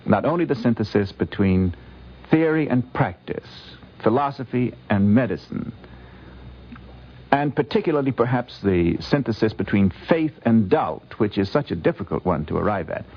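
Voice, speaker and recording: A man giving considered, explanatory commentary as a guest scholar, lecturing deliberately with emphatic phrasing, on a vintage radio recording. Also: on the low side, animated, slightly rough, muffled recording.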